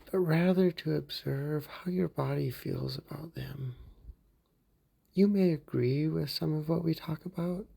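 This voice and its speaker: A man leading a guided mindfulness meditation for a therapy group, speaking calmly and gently and sometimes dropping into soft whispers. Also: fairly high pitch, creaky, breathy edge. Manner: calm, gentle, unhurried, slips into soft whispers.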